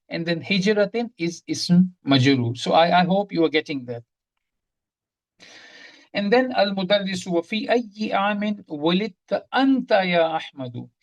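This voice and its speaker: A woman's voice: fairly low pitch, low-quality recording. Methodical woman teaching grammar online. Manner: methodical, explains step by step and repeats key terms; quizzes the class directly.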